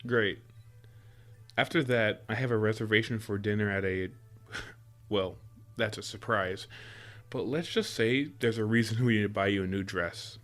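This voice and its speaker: Guy acting as a sweet playful boyfriend, kinda deep voice, teasing and coaxing, music in the audio roleplay. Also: normal speed, fairly bright tone, natural voice. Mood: playful, affectionate. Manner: teasing, chuckles often, coaxing. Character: affectionate playful boyfriend spoiling his sweetheart with surprises.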